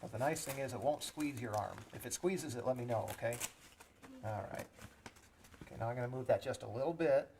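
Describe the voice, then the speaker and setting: Male EMT for an educational demo, calming a young patient with plain explanations, slight background noise. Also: medium pitch, a little fast. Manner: reassuring, explains each step simply. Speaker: EMT with a rescue squad. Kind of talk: educational demonstration talking to a child patient.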